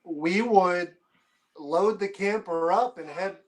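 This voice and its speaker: A man's voice: sits high, slow. A man who is a guest on a casual chat, answering in a hesitant way with many "um"s.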